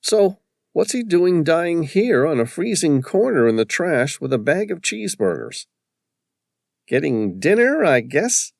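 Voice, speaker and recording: A man's voice: on the high side, very animated, measured pace, gravelly, no echo, clean studio sound, voice pushed up, exaggerated voice. A male narrator voicing a wry, practical woman paramedic in a storybook reading.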